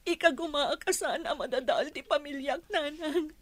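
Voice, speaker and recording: A woman's voice: high-pitched, moderate pace, no room sound, lightly acted. A woman with an Ilocano accent playing a tearful, devoted young wife in a radio drama, pleading to keep her marriage.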